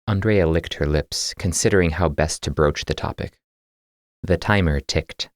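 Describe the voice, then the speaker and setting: Deep-voiced male audiobook narrator. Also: moderate pace, no echo, clean studio sound. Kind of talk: scripted fiction narration.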